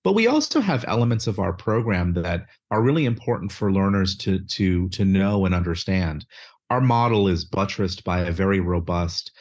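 A man's voice: deep voice, animated, normal speed, a little mellow. A man answering interview questions, articulate and methodical, listing points with a few fillers. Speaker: guest, education nonprofit chief executive.

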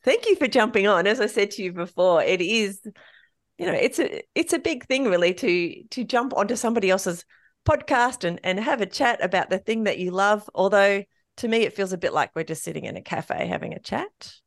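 A woman's voice: mid-range voice, animated, fairly quick, dry recording, clean studio sound. An Australian woman in middle age hosting a podcast, chatty and upbeat, laughing often in casual interview talk. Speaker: podcast host.

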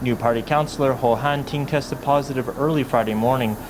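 A man narrating a news report in a measured, formal way. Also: mid-range voice, fairly even intonation, background noise.

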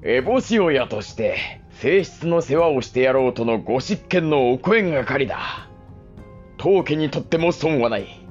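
An actor playing a cold, middle-aged samurai patriarch in a period audio drama, speaking in formal, commanding lordly phrases. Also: male voice, on the high side, lo-fi sound. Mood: measured authority.

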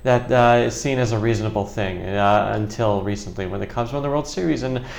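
A man's voice: lowish voice, brisk, creaky, roomy sound, heavy background noise. A middle-aged man with an American accent answering interview questions conversationally, with a wry, joking delivery. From United States, Chicago area. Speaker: interview guest, political journalist. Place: indoor office in a parliament building.

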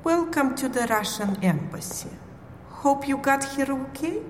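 A polite, halting woman receptionist in an audio drama. Her voice is high and slow, carefully courteous. It rings with the long echo of a large hall. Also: animated. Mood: courteous, hesitant. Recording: echoey large hall, faint noise.

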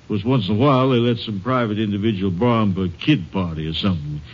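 An actor playing a plain-spoken police chief in an old-time radio drama, casual, folksy and businesslike. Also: male voice, fairly low pitch, fast talker, lightly acted. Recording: muffled old radio recording.

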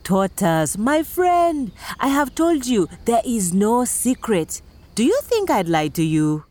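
A woman's voice: a little high, big pitch swings, takes it slowly, darkish tone, voice pushed up. A woman voicing a sensible, cautious dog in a children's folktale, speaking in an earnest, admonishing way. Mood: earnest, insistent. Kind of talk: animated children's storytelling.

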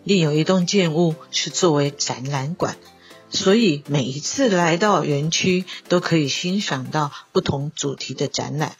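A low-pitched woman delivers a formal, prepared announcement in Taiwanese Mandarin, in the role of an office director. Faint music plays behind her. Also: unhurried. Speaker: local culture and tourism office director.

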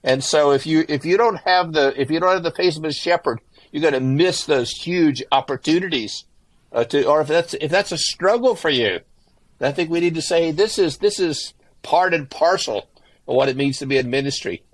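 A man's voice: fairly high pitch, normal speed, no echo. Earnest man who restarts his phrases. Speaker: author and former pastor. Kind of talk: podcast interview.

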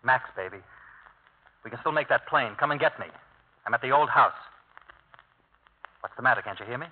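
A male actor plays an embittered, sardonic hard case. His voice is rough-edged and mid-pitched, his delivery clipped in 1950s radio style. The broadcast sound is thin and boxy. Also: fairly quick, lightly acted. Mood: tender, wry. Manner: clipped, wry tough-guy talk. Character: tough, sardonic, embittered man facing down his brothers. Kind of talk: 1950s radio drama acting. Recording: narrow, lo-fi old radio broadcast.

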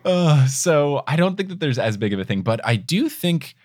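A man's voice: fairly high pitch, big pitch swings, normal speed. A young man hosting a podcast, chatting casually with rambling asides and frequent laughter. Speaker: podcast host. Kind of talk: casual chat.

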